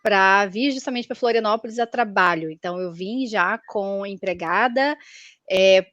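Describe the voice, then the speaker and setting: A woman in her thirties from Goiás, introducing herself briskly in a webinar. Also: mid-range voice, a bit bright, dry recording. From Goiás, Brazil. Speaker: guest. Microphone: video call. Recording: dry, dull video call audio.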